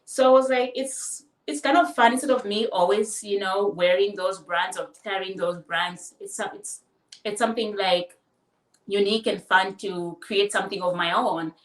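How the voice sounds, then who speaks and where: A woman from the Democratic Republic of Congo, answering interview questions casually and haltingly, with many fillers. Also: medium pitch. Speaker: guest, fashion business owner and designer. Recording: roomy echo, distant mic.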